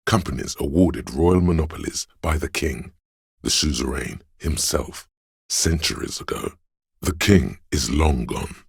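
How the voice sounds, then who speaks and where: An erudite, all-knowing inner voice from a narrative video game. He is extremely deep and heavily husky, with a gravelly rumble. The delivery is measured and dryly sardonic, like scripted encyclopedia narration. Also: dry recording, studio quality, exaggerated voice.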